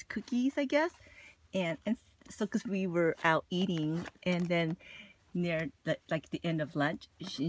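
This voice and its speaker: A woman's voice: on the low side, slight background noise. A woman vlogging casually about her shopping haul, chatty and gushing, with plenty of "like" and "you know". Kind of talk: casual haul vlog chat.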